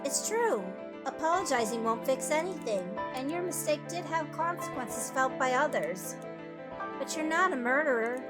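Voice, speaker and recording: A wise female guide played by a woman in a visual novel, speaking in a fairly high voice. She is measured and firm, consoling but blunt, with music clearly behind her. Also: expressive. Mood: firm, reassuring. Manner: measured, counselling. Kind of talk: dramatic visual novel reading.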